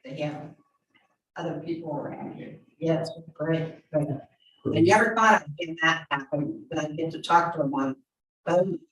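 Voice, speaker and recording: A woman with a very low voice tells a chatty story from the audience. She sits well away from the microphone, and the sound is roomy and echoing. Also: unhurried, fairly bright tone, highs cut off. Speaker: audience member. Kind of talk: telling an anecdote. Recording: roomy, from far off the mic.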